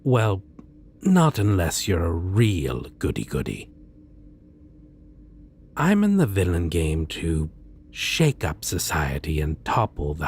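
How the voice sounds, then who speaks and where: A man playing a suave, theatrically polite supervillain in an ASMR roleplay, speaking with deliberate pauses and sly menace. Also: low voice, slight background noise, full character voice. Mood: persuasive, confiding. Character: suave male supervillain interviewing a minion, courteous, slyly menacing. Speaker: roleplay voice performer. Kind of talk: ASMR roleplay, scripted monologue.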